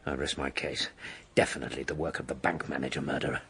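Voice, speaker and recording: Gravelly, wry British newsman. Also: extremely low pitch, level tone, quick pace, pushed and bright, full character voice. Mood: wry, determined. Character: wry, dogged male crime correspondent. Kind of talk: BBC radio drama acting.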